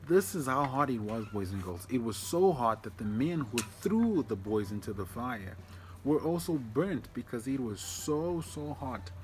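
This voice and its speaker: A man's voice: mid-range voice, big pitch swings, unhurried, darkish tone, a little hiss or hum, rough recording. A man telling a story to children informally as a church storyteller, explaining things and addressing them directly.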